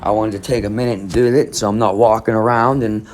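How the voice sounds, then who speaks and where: A man reads a crime article aloud in a somewhat low voice. He stops often to pick at it, rambling and skeptical, and hums to himself between passages. It sounds like casual true crime commentary. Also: fairly even intonation, fast, slight background noise. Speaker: true crime channel host.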